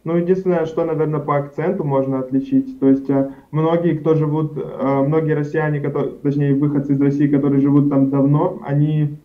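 A young man speaks over a video call from a room at home, his voice a little higher than most men's. The audio is muffled and lo-fi, like a webcam feed. He answers in a loose, rambling way, with lots of fillers and self-corrections. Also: little pitch movement, brisk, few pauses. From Karachay-Cherkessia, Russia. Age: young adult. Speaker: studio guest. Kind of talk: casual interview answers. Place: indoors at home, plain wall.

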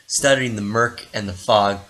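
A man's voice: lowish voice, steady tone, a little slow, fairly bright tone. A man giving a dramatic scripted narration of a story as the narrator of an audio drama.

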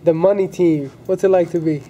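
A man working as a sports reporter, firing off quick, upbeat questions in a casual backstage interview inside a hotel. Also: sits high, fairly even intonation, warm tone, dull audio. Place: hotel corridors and event hall indoors.

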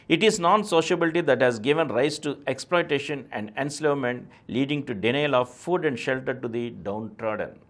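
A middle-aged man with an Indian accent, reading a scripted text aloud in a formal, deliberate way, phrase by phrase. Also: medium pitch. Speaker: presenter reading answers aloud. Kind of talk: scripted reading of a question-and-answer essay.

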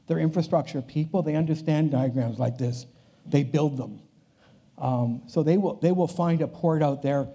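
A man's voice: mid-range voice, warm tone, slightly rough. An older man giving a conference keynote on a large stage, talking in a loose conversational way that often restarts its phrases. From Canada. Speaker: keynote speaker.

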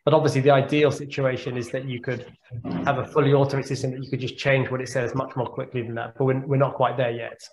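A man's voice: mid-range voice, flat delivery, fast talker, a little hiss or hum. A man in his forties, a neurologist, answering audience questions on a video call, thoughtful and often repeating words as he thinks. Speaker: guest speaker, neurologist. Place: home room on a video call. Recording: echoey video-call audio.

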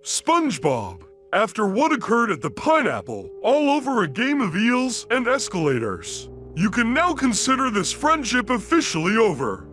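A man's voice: sits high, wildly swooping pitch, moderate pace, hardly any pauses, a bit bright, faint music behind, full character voice. A man doing a cold, scolding cartoon starfish. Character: grumpy adult male starfish, fed up. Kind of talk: fan storytelling.

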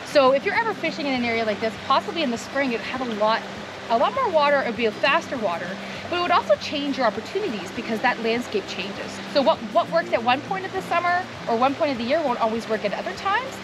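Higher-voiced Canadian woman talking by a stream. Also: sing-song. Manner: conversational, explanatory. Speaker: fishing presenter. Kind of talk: casual unscripted outdoor chat with tips. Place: outdoors on a wooded creek bank. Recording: outdoor recording with clear rushing stream noise.

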